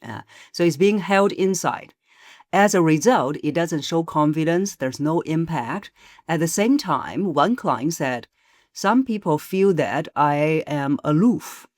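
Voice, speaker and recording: A woman voice coach, a podcast guest, explaining in a measured way with frequent pauses and hesitations. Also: low-pitched, lively intonation, a little mellow, no echo, studio quality. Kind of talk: podcast interview.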